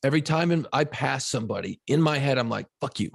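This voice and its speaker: A man's voice: medium pitch, fast talker, gravelly, breathy edge, no echo, clean studio sound. A man, co-hosting a podcast in casual chat, telling profane stories with frequent laughs. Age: 50s. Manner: profane, laughing, animated storyteller. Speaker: podcast co-host.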